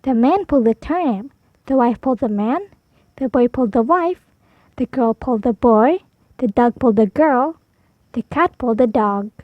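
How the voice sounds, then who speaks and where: A woman storyteller reading a picture book aloud for preschoolers, animated, drawing out key words for emphasis. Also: fairly high pitch, normal speed, dry recording, narrow, tinny audio. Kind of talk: expressive read-aloud for preschoolers.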